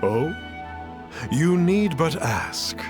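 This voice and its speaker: An actor playing a pompous masked sage in a video game, speaking in lofty, cryptic, archaic phrases. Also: male voice, a little high, sing-song, drawn-out words, loud music behind the voice, full character voice. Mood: solemn, cryptic. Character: pompous masked self-styled immortal sage, a smooth-talking fraud. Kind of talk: theatrical video game character acting.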